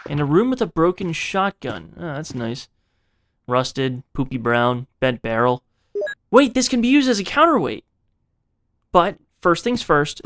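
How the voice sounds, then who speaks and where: High-pitched man narrating a game, theatrically. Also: a bit bright. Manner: theatrical, self-interrupting asides to the viewer; casual, profane, jokey. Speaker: video game retrospective narrator. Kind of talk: dramatized scripted game playthrough narration.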